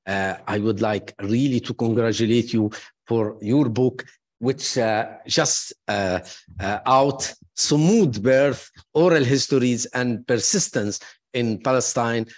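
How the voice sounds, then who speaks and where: Halting man introducing academic speakers. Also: mid-range voice. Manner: halting, many fillers and restarts; warmly praising, personal asides. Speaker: panel moderator introducing speakers.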